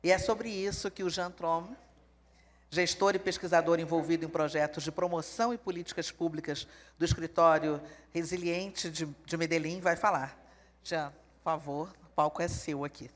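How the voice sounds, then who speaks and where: A man's voice: on the high side, fairly even intonation, moderate pace. A man hosting a live event, welcoming the audience back and introducing the next speaker. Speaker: event host. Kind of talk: stage introduction. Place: large hall at a live event. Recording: echoey hall with a faint crowd.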